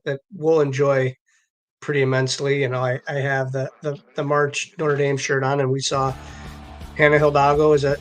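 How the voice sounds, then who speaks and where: A man's voice: on the high side, fairly bright tone, rough recording. A man co-hosting a sports show with casual chat, often repeating words as he goes. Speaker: co-host of a sports card live stream.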